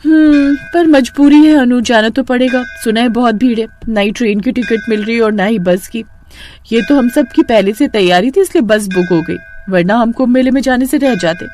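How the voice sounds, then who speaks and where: A woman's voice: fairly high pitch, moderate pace, a little mellow, soft background music, natural voice. A woman voicing a rich woman's snobbish friend, clannish and eager to go along, in a moral cartoon story. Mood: agreeable.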